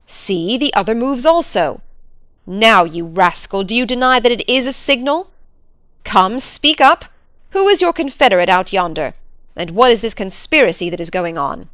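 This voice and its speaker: A woman's voice: mid-range voice, lively intonation, moderate pace, a bit bright, no echo, close to an everyday voice. A woman voicing a stern male baronet who demands answers from his butler.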